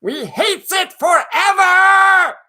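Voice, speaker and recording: A man voicing a wretched, menacing cave creature with hateful, drawn-out shrieks. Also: extremely high pitch, sharp tone, voice pushed up, full character voice. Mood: hateful, despairing. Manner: shrieking, drawn-out repeated cries.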